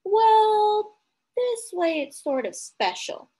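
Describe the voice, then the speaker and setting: A woman's voice: very high voice, big pitch swings, slow pace, warm tone, pitched above the performer's own voice, heavily acted. A woman voicing an earnest young boy from a picture book, announcing his plans.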